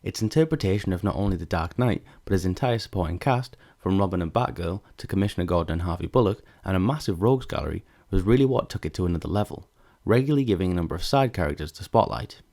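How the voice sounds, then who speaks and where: Fast-talking deep-voiced man narrating. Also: lively intonation, dark tone. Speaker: video essay narrator. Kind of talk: scripted narration.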